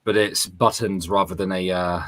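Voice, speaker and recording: Male co-host for a gaming stream, low voice, casual joking banter with some swearing. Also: steady tone, moderate pace, a bit bright.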